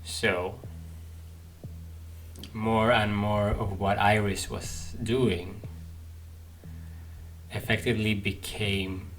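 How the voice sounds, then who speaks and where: Slow, low-voiced man thinking aloud. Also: flat delivery, fairly bright tone, slight background noise, low-quality recording. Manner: halting, thinking aloud with long pauses. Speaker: gaming playthrough host. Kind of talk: thoughtful live game commentary.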